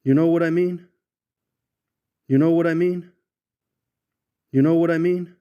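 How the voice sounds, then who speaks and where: Slow-paced man delivering short catchphrases. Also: a little high, fairly even intonation, mellow, clean tone, dull audio. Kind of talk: short repeated catchphrases for a sound effects clip.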